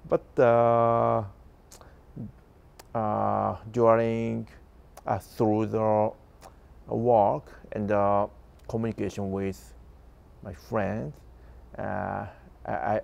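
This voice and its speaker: A middle-aged Japanese man with a Japanese accent, speaking halting English and searching for words. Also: fairly low pitch, level tone, vocal fry, a little mellow, highs cut off. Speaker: father learning about America.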